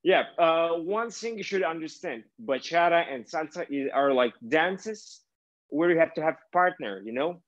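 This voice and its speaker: A young man from Kazakhstan hosts a casual cooking and dance chat from his home kitchen on a video call. He talks haltingly, with many fillers and restarts. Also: on the high side, animated. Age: young adult. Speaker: host. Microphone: laptop webcam on a video call. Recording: muffled video call audio.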